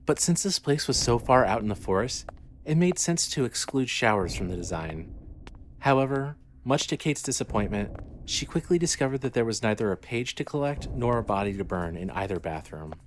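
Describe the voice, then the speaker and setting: A man's voice: medium pitch, very animated, normal speed, slight background noise. A man narrating a scripted horror story as the storyteller of an audio drama.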